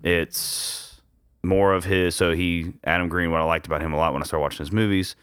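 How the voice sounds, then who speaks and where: A man's voice: deep voice, fairly even intonation, a little fast, fairly bright tone, clear, resonant tone, no echo, clean studio sound. A man hosting a casual podcast chat, easygoing and rambling with lots of fillers. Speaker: podcast host. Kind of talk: casual chat over beers.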